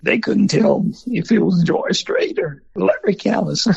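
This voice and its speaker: An older man with a husky, gravelly voice, telling folksy stories in an interview. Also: high voice, measured pace, dry recording, dull audio. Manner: folksy, animated storyteller. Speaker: museum founder and former country singer. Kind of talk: storytelling in an interview.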